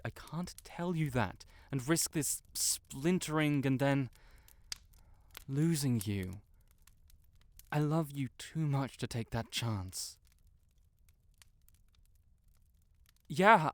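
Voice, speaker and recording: A man playing a proud, flustered young wizard in an intimate fantasy audio roleplay, halting and defensive yet earnest. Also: a little high, no echo. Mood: anguished, loving. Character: proud, stuck-up young male wizard, flustered tsundere best friend in love.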